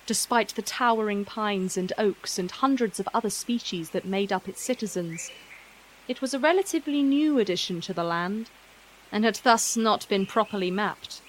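Woman telling a scripted fairy tale, mid-pitched voice, some ambient noise behind her. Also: normal speed, poor audio. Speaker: storyteller narrator.

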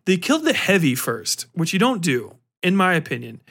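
Male podcast host, slightly high voice, loose and rambling delivery, animated, swears freely, slangy everyday talk. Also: normal speed. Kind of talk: casual rambling film commentary.

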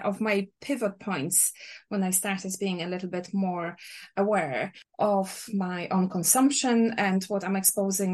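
A woman from Poland with a Polish accent, answering interview questions thoughtfully with frequent hesitations. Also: medium pitch. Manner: thoughtful, hesitant, many uhs. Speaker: guest, sewing teacher.

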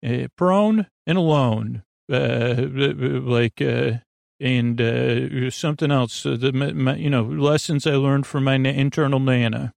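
A man hosting a bedtime podcast in a rambling, halting monologue full of digressions, with a gravelly, creaky voice. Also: mid-range voice, darkish tone, dry recording. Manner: meandering, halting, full of digressions and trailing thoughts. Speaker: podcast host.

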